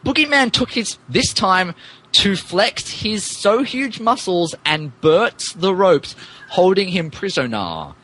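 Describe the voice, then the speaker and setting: A man's voice: sits high, sing-song, slow, pushed and bright, poor audio. A man narrating fan fiction on a podcast in a mock-dramatic, emphatic way, amused and stumbling over the text.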